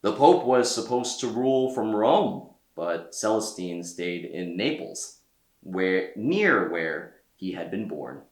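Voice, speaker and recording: A man reading a children's story aloud as a storyteller, with theatrical delivery and deliberate pauses. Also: fairly low pitch, lively intonation, roomy sound. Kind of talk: expressive read-aloud narration for children.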